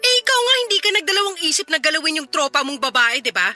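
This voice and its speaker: A woman's voice: high voice, sing-song, fast talker, bright, forceful tone, soft background music, exaggerated voice. A woman voicing a wounded, sarcastic fiancée scolding her cheating man in a Filipino-accented radio drama. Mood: furious, sarcastic.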